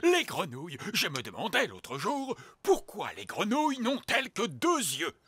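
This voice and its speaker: A man's voice: high voice, wildly swooping pitch, moderate pace, bright, forceful tone, breathy edge, dry recording, full character voice. A man voicing an eccentric, doting cartoon grandfather, rambling with whimsical enthusiasm. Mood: whimsical, musing. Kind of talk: French cartoon voice acting. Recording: music bed behind voice.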